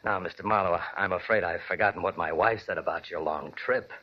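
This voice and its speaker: Polite, lowish-voiced artist husband. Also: male voice, a little fast. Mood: flustered. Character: polite, earnest, touchy male artist husband. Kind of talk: 1940s radio drama acting. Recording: muffled, faintly noisy old radio recording.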